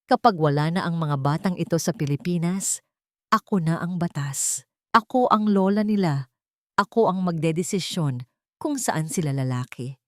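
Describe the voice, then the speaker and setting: Villain for an audio drama: a gloating, scheming grandmother, pitched up and sneering, as a woman puts her on. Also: lively intonation, moderate pace, no room sound, studio quality, close to an everyday voice.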